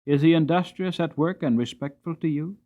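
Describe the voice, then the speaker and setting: A man voicing a grave but kindly Victorian master of the house in an audiobook, measured, formal and genial. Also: a little high, dark tone, dull audio, pitched above the performer's own voice, natural voice. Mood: grave, questioning. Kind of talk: dramatic audiobook character reading.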